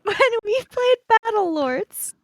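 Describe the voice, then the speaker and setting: High-pitched, animated woman. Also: takes it slowly, vocal fry, no room sound, clean studio sound. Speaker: player in an actual-play tabletop podcast. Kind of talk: casual, joking table talk.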